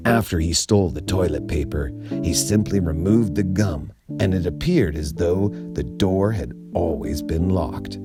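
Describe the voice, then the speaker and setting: Slow, deep-voiced storyteller man. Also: loud music behind the voice. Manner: slow, expressive. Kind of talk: reading a children's book aloud.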